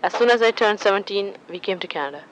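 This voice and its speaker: A woman's voice: mid-range voice, expressive, fast, muffled recording, a little hiss or hum. A woman in her twenties from Sri Lanka, chatting casually about her life in an interview, with frequent restarts and fillers. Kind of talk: casual personal storytelling.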